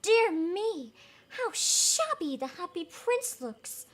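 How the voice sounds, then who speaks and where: A woman's voice: very high-pitched, big pitch swings, slow pace, pitched above the performer's own voice, full character voice. A woman voicing a vain, self-important male town mayor in a storybook, with pompous emphasis. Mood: pompous dismay.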